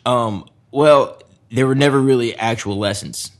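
A man's voice: mid-range voice, measured pace. A young man, a guitarist, talking casually in a loose, rambling way with lots of fillers and restarts. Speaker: guitarist guest. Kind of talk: casual conversation.

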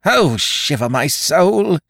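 Roaring, gravelly old blind pirate. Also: male voice, on the high side, very animated, dry recording, studio quality, pitched above the performer's own voice, full character voice. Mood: scornful rage. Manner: shouted commands, drawn-out angry exclamations. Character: enraged blind old pirate leader, commanding and cruel.

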